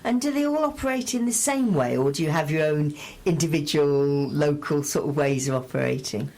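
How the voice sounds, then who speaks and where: A woman's voice: low voice, animated, fairly quick, darkish tone. A woman hosting a conversational radio interview in a chatty, encouraging way. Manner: encouraging, chatty, uses tag questions.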